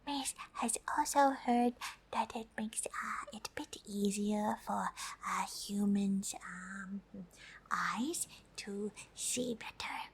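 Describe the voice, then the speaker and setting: A woman's voice: mid-range voice, takes it slowly, breathy edge, exaggerated voice. A woman voicing a friendly, polite alien who is curious about humans, speaking hesitantly in an ASMR roleplay. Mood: gentle, explaining. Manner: hesitant, speaks of self in third person.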